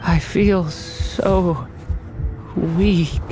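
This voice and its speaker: A woman playing a gentle young dragon boy in a video game, speaking in an earnest, halting, searching way. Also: on the low side, over music. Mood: weak, fading.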